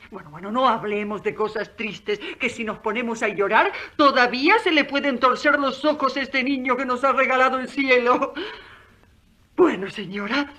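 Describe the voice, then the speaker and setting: Radio drama midwife: devout, playful, folksy, effusive, sharp bright voice. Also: female voice, on the high side, normal speed. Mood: warm, chatty. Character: chatty, devout, playful servant midwife woman.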